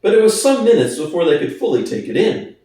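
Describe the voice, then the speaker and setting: A man's voice: high voice, big pitch swings, fast, mellow. A man narrating a book as a dramatic reading. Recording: echoey room, distant from mic.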